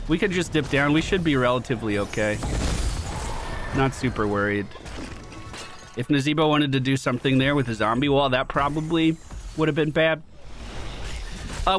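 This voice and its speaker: Young guy a bit stuffy and nasal, casual gameplay commentary, swears a lot, voice a little high, noisy background. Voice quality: slightly nasal from a cold. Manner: casual, swears, excitable bursts when plays land. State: getting a cold. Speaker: gaming YouTuber.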